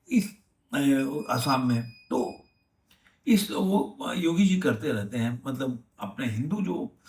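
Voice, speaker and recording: A man giving unscripted film news commentary, halting and repeating himself as he rephrases. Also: medium pitch, darkish tone. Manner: halting, repetitive, rephrasing as he goes. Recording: echoey room, distant microphone.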